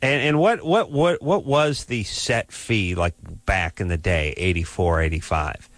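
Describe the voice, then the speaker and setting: A man's voice: sits low, measured pace, fairly bright tone, no echo. A man hosting a casual interview, joking around and often restarting his questions. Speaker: host.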